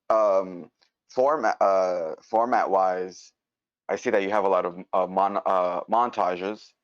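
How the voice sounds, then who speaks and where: Man, low voice, halting informal critique, dry close sound on an online meeting. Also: dry recording. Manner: hesitant, searching for words, repeating himself. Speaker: participant giving script feedback. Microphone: online meeting mic. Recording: dry, muffled online-call audio.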